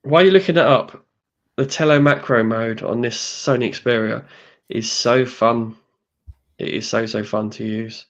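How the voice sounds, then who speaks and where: A man's voice: mid-range voice, steady tone, unhurried. An English man in his late thirties, chatting as co-host in an easygoing, chatty way. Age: 30s. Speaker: podcast co-host. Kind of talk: casual chat.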